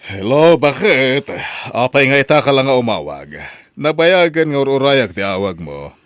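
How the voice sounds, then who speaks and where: A man's voice: medium pitch, very animated, moderate pace, fairly bright tone. A man voicing a stern middle-aged father who scolds and lectures his daughter. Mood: curious. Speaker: voice actor. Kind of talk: audio drama acting.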